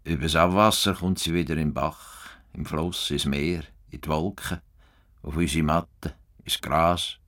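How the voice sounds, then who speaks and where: Stubborn, blunt Swiss farmer, bright-voiced. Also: male voice, rumbling low, steady tone, a little slow. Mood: musing, low. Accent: Swiss German. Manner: blunt, swearing, grumbling. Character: stubborn, idealistic, cantankerous farmer out to save the world. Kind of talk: radio play acting.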